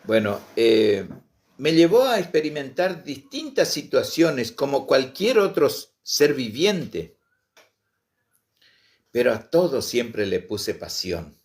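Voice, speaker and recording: A middle-aged man tells his story in an unhurried way, lingering on each phrase. His voice sits at an ordinary middle pitch, and he pauses often with soft fillers. He speaks with heartfelt passion. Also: sing-song. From Misiones, Argentina. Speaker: Guaraní language and culture promoter. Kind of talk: personal storytelling to online viewers.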